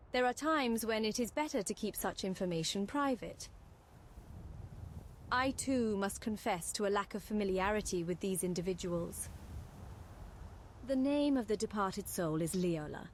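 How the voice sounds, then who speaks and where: A woman's voice: mid-range voice, slight background noise, lightly acted. A woman voicing a formal, devoted mage in a fantasy video game, with courteous, archaic phrasing. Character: formal, devoted female mage of a secretive college. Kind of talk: scripted fantasy game dialogue.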